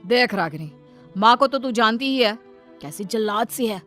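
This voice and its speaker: A woman's voice: a little high, expressive, normal speed, fairly bright tone. A woman voicing a scheming young husband in a family cartoon, wheedling and protesting indignantly as he plays innocent. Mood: coaxing. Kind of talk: Hindi cartoon family-drama voice acting. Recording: music behind the voice.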